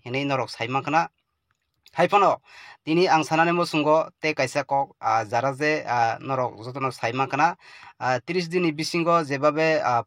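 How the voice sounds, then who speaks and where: A man giving halting, deliberate political commentary to the public, with frequent pauses. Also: medium pitch, fairly bright tone, no room sound, clean studio sound.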